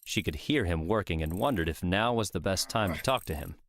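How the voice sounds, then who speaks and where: Low deep guy narrating a fiction audiobook, scripted read. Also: animated, fairly quick, fairly bright tone, no room sound, studio quality.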